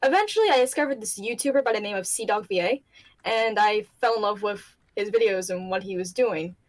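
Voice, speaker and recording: A young woman talks casually about how she got into voice acting. She rambles a little and giggles between thoughts. Her pitch sits in the middle range. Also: lively intonation, a little fast. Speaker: hobby voice actor panelist. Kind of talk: casual panel chat.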